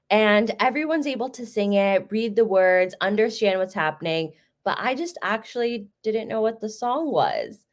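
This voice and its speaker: A young American woman from Chicago giving a conversational talk over a video call, telling personal stories. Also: medium pitch. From Chicago, United States. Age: young adult. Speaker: guest speaker. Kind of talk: storytelling talk.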